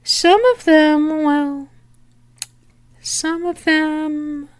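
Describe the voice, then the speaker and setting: A woman playing a flirtatious female demon in an ASMR roleplay, teasing and sultry, breathy and often slipping into a whisper, close to the microphone. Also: high voice, flat delivery, no room sound. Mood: seductive, teasing. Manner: sultry, teasing, drawn-out with lingering pauses.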